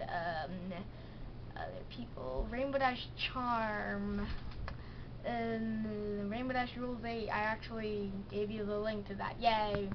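A teenage girl chatting in a rambling, bubbly way in a casual home vlog, recorded on a handheld camera in her bedroom. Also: on the high side. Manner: bubbly, rambling, many fillers. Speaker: pony toy vlogger. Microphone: handheld camera's built-in mic. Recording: dull lo-fi camera audio with hiss.

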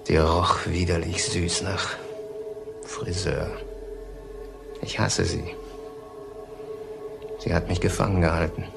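A very low-voiced middle-aged killer confesses in a crime radio play. He speaks haltingly and deliberately, tormented by his mother, with music underneath. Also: flat delivery, vocal fry, full character voice. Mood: bitter, tormented. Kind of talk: German crime radio drama acting.

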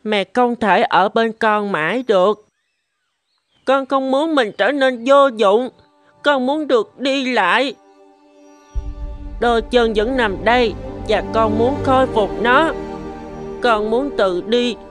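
A woman's voice: fairly high pitch, takes it slowly, pauses often, soft background music. Woman voicing an earnest, determined little cartoon boy. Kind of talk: Vietnamese cartoon voice acting.

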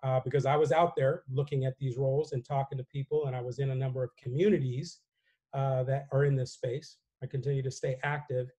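An older man moderating a panel discussion over a video call from a home office, speaking in a relaxed, measured way with frequent fillers. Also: medium pitch. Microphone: webcam on a video call.

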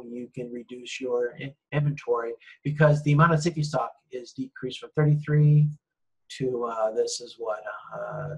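Man, husky voice, loose lecture talk, lots of ums and restarts. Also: medium pitch, low-quality recording. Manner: loose, conversational, with many fillers and restarts. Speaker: university lecturer. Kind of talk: recorded lecture over slides.

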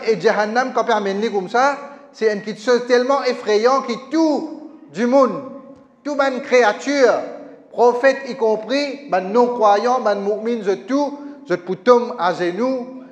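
A man's voice: high-pitched, slow, dull audio. A middle-aged man preaching a Friday sermon into a stand mic in a small mosque, speaking with a lilt and emphatic, repetitive phrasing. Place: small mosque prayer hall, indoors. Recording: echoey hall with long reverb.

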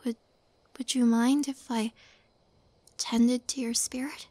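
A woman playing a sweet, shy young witch healer in an intimate audio roleplay, speaking gently and hesitantly, often near a whisper. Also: on the high side, creaky, no echo, exaggerated voice.

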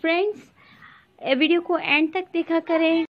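A woman hosting her home decor channel, narrating a slideshow and blessing her viewers warmly. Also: very high voice, fairly even intonation, measured pace, muffled recording. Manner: warm blessings and friendly appeals to viewers. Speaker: YouTube channel host. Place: voice-over, not in the pictured rooms.

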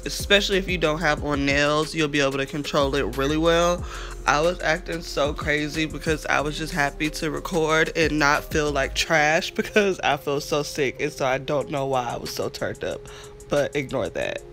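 A woman's voice: low-pitched, moderate pace, a bit bright. Young female beauty vlogger, stuffy from a cold, chatty and upbeat, music behind her. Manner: chatty, upbeat, talks to viewers as friends. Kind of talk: casual makeup tutorial. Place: bedroom, indoors. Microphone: camera facing her at close range.

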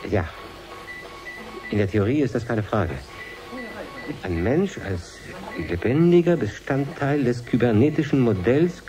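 An actor playing a grave professor in a radio drama, explaining in a precise, formal, deliberate way. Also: male voice, mid-range voice, natural voice. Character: grave male professor explaining a risky project. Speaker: scientist.

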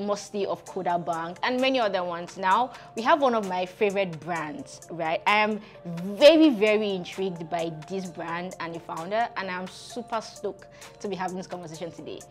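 A young woman with a Nigerian accent, hosting a conversational interview in a small studio. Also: on the low side. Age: young adult. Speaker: interview show host. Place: small curtained indoor studio, seated.

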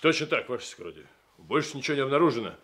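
An actor in a Soviet radio drama playing a gruff, servile police underling with a barking, clipped delivery. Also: male voice, mid-range voice, expressive, very fast, fairly bright tone. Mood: dutiful, servile. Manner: barking, clipped, deferential to his superior.

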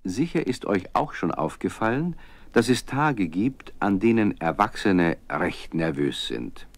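An actor playing a friendly narrator who tells a scripted story to children. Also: male voice, on the low side, very animated, a little slow, dry recording, exaggerated voice. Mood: engaging.

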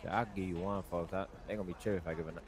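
A man's voice: low voice, fairly even intonation, rapid-fire. A young American man chatting casually in a roleplay game, using slang and swearing. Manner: slangy, profane. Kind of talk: casual in-character game banter.

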